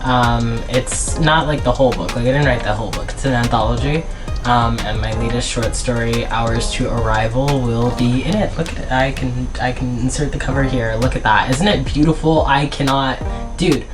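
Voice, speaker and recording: A man's voice: medium pitch, steady tone, moderate pace, over music. A young man from the United States talking in an unscripted, chatty vlog, rambling with many fillers and restarts. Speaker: author and vlogger.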